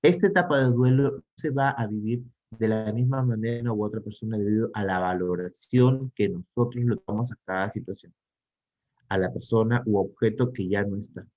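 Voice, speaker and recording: A man's voice: lowish voice, a little fast, dull audio. A man with a Peruvian Spanish accent giving a psychology lecture, posing rhetorical questions to teach. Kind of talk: online conference talk.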